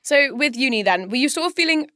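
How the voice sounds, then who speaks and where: A woman's voice: sits high, lively intonation, quick pace, sharp tone, clear, resonant tone, no room sound, studio quality. A young woman hosting a university podcast, chatty and agreeable in casual conversation. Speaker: podcast host. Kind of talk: casual chat.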